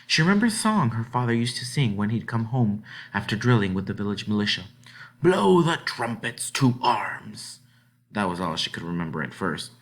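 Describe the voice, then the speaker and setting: A man's voice: on the low side, lively intonation, quick pace, few pauses. Man reading a children's novel dramatically. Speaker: read-aloud narrator. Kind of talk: expressive children's book narration.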